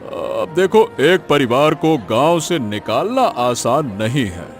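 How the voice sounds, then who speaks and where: A man's voice: mid-range voice, sing-song, takes it slowly, soft background music. A man playing a hesitant, easily swayed village headman in a cartoon, folksy and mildly cautious. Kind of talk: Hindi cartoon moral story acting.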